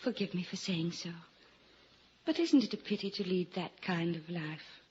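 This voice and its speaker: A woman's voice: fairly low pitch, animated, moderate pace, a little mellow, close to an everyday voice. Woman acting an earnest young lady, muffled vintage radio. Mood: tender concern. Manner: earnest, pleading, melodramatic delivery. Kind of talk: old-time radio drama acting. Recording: dull, noisy vintage radio recording.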